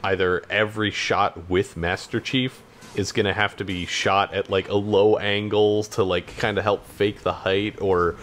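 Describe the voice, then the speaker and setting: A man co-hosting a podcast in casual chat, talking in a hesitant, halting way. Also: on the low side, level tone, fairly bright tone, slight background noise. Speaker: podcast co-host.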